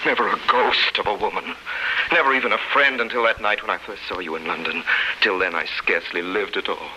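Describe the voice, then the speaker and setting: An actor playing an anguished, devoted prisoner in a solemn, impassioned 1930s radio drama style. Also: male voice, on the low side, fairly quick, noisy background, close to an everyday voice. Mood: tender. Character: anguished, devoted middle-aged male prisoner looking back on love. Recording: 1930s radio broadcast with music.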